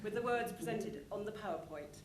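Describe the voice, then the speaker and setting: A woman's voice: mid-range voice, expressive, a little fast. A woman giving an informal conference talk, chatty and anecdotal as she describes a show. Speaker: conference presenter. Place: conference hall. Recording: echoey room, clear background noise.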